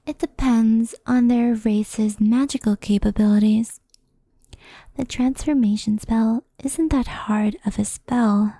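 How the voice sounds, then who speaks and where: A woman playing a gentle, wistful fox spirit in an ASMR roleplay, speaking softly and often in a whisper, close to the microphone. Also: on the high side, steady tone, no room sound. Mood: calm, explaining. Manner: soft-spoken, often whispery, unhurried explaining. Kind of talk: ASMR roleplay, soft storytelling. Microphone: close headphone ASMR microphone.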